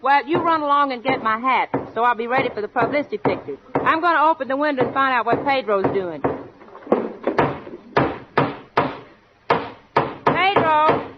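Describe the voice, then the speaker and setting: High-pitched, brassy radio comedienne. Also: female voice, animated, a little fast. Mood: brisk, exclaiming. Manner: exclaiming, folksy comic delivery. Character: brassy, folksy, ditzy female comedy star. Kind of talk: 1940s radio comedy acting. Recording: dull, noisy vintage radio recording, studio audience.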